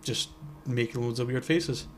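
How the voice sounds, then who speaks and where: A man's voice: mid-range voice, moderate pace, slight background noise. A young man co-hosting a podcast in casual chat. He rambles, stops himself mid-sentence and makes wry asides. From Scotland. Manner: rambling, self-interrupting and wry. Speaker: podcast co-host.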